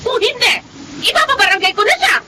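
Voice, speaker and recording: A woman's voice: animated, fast, background noise. An actress playing a hot-tempered Filipino woman storekeeper who nags and scolds in radio drama style. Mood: angry, scolding. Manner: nagging, shrill, exclamatory scolding. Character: scolding, hot-tempered female neighborhood storekeeper demanding debts.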